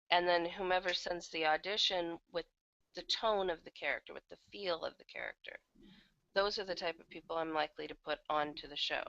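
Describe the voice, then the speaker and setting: A woman's voice: on the low side, fairly bright tone, dry recording. A woman chatting casually as an interview guest, candid and self-deprecating, over a computer microphone. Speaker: guest, audio drama producer and casting director. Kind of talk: casual interview chat about their work. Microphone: computer microphone on an online call. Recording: dull lo-fi internet call, uneven volume.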